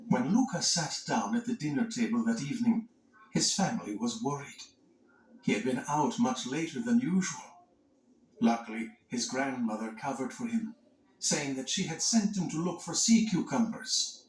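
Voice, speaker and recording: A man reading scripted children's read-along narration in an expressive, dramatic storytelling manner. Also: mid-range voice, normal speed, a little hiss or hum. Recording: echoey narration over music. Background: music.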